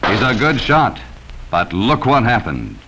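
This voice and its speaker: A man's voice: fairly low pitch, wildly swooping pitch, a little slow, pushed and bright, breathy edge. An American man narrating a 1940s educational safety film from a script, speaking sternly and cautioning children directly, on a vintage film soundtrack.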